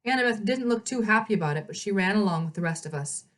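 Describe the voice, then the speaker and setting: A woman reading a story aloud as narrator, in an expressive storybook style. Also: medium pitch, fast talker.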